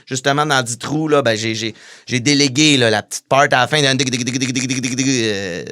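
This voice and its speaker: A man's voice: medium pitch, fairly quick, bright, forceful tone. A man with a Quebec French accent, a musician guest in a casual podcast interview, hesitant with many fillers and restarts.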